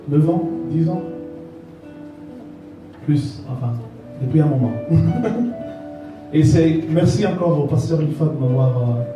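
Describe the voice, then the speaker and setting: A male pastor with a slightly high voice talks informally to an audience, dropping joking asides. He is heard from off the mic in a very echoey room, with guitar music behind. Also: distant mic. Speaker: pastor, guest speaker.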